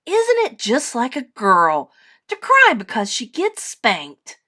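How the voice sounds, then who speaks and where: A woman's voice: mid-range voice, big pitch swings, slow. A woman voicing a cheeky, defiant young boy bear from a storybook, bold and exclamatory. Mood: scornful.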